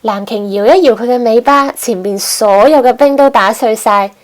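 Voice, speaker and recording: A woman's voice: fairly high pitch, normal speed. A woman narrating a children's storybook expressively as host.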